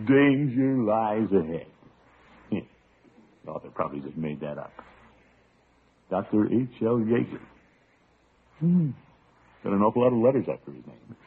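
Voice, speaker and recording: Tinny old radio sitcom, pompous doting uncle, middle-aged, very animated delivery, blustery, easily flustered. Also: male voice, low-pitched, slightly rough, exaggerated voice. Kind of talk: 1940s-50s radio sitcom acting.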